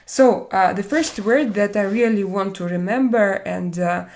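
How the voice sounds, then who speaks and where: A Russian woman with a Russian accent, hosting a casual live-stream chat in English, her second language, often pausing with "uh" as she searches for words. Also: mid-range voice, a little mellow. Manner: halting, frequent "uh" fillers while searching for English words.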